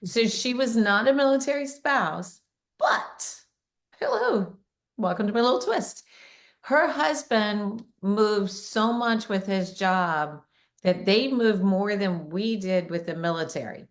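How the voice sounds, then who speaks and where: A middle-aged woman with a raspy voice hosting a casual podcast, chatty and quick, laughing often. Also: medium pitch, animated. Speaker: podcast host, realtor.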